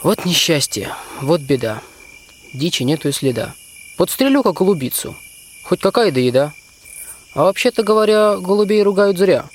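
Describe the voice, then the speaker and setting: A man's voice: sits high, animated, moderate pace, slight background noise. A young man playing a plain, good-natured archer who muses in folksy rhyme in a fairy-tale radio play. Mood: puzzled. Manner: folksy, musing recitation.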